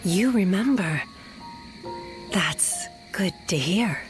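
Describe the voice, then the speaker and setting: A woman playing a solemn, protective guardian in a video game, speaking in a measured, grave way. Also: mid-range voice, expressive, over music. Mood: solemn, caring. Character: solemn, protective woman sworn to eliminate threats to peace. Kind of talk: video game dub acting.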